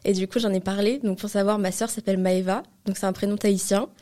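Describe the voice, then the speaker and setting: A woman's voice: mid-range voice, steady tone, quick pace, mellow. An eighteen-year-old woman from France, a student guest on a podcast, chatting casually about herself. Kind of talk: casual personal chat.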